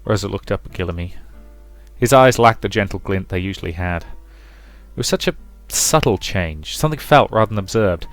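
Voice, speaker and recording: Low-voiced man reading a game story aloud. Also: expressive, moderate pace, no room sound, faint music behind. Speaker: let's play presenter. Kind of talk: reading a visual novel aloud with casual asides.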